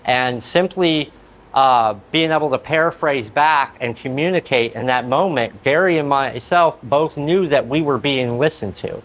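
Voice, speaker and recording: A man co-leading a training demonstration, explaining in measured, articulate, long sentences in a conversational way. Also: mid-range voice, fairly bright tone. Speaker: outreach program manager, co-trainer.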